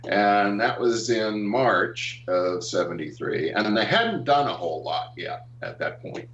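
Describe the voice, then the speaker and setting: Older american guy, low voice, halting with lots of ums, telling career memories, dull echoey recording. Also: expressive, a bit bright, a little hiss or hum. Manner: halting, frequent pauses and ums. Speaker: interview guest, animation artist and art director. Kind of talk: reminiscing in a casual interview. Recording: roomy, dull, distant call-like audio.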